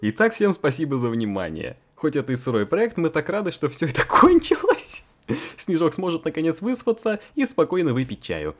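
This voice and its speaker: A man's voice: a little high, wildly swooping pitch, fairly quick. A young man reading a visual novel aloud and chatting about it in a playful, giggly way. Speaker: let's-play host.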